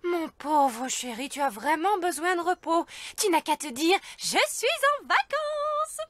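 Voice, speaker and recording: A caring elf wife in a cartoon. Her voice is high and very melodic in its movement, with a soothing, coaxing manner. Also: sing-song, a little slow, a bit bright, heavily acted. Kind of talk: French animated series dub acting.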